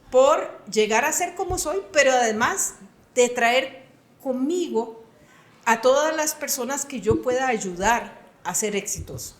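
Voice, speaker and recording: A woman's voice: sits high, echoey room. A woman in her sixties with a Costa Rican accent, a retired computing professor answering interview questions in an earnest, emphatic way. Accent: Costa Rican Spanish. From Costa Rica.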